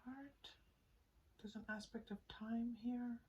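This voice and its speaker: A woman giving an improvised spiritual tarot reading straight to the viewer, in a halting, conversational way that often seeks agreement. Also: a little high, steady tone, mellow, far from the mic, lo-fi sound. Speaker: tarot reader and channel host.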